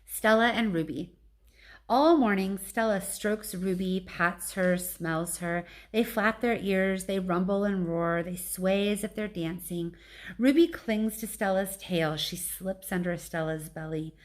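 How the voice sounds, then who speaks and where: A woman narrates a children's book in the manner of a teacher reading to pupils. Her voice sits at a middle pitch as she tells the story. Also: a little slow. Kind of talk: storybook read-aloud narration.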